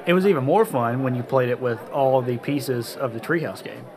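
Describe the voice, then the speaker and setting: A man with an average-pitched voice chats casually as a co-host. His phrasing is plain and a little hesitant. There is clear background bustle as from a crowd. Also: expressive, fairly quick. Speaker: podcast co-host. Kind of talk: casual chat, game review.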